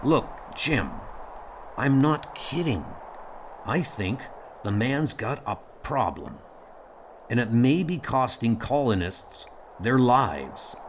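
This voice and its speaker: A man voicing a gruff, blunt, exasperated starship doctor in an audio comic dub. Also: mid-range voice, big pitch swings, slow pace, a little hiss or hum. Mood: grave. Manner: blunt, argumentative, sardonic.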